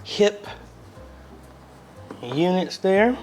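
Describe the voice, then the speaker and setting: A man's voice: high voice, big pitch swings, very slow, darkish tone, soft background music. An American man hosting a casual unboxing review, talking in a conversational, unscripted way as he thinks aloud. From United States. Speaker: video host and church media ministry member. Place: apartment room, indoors.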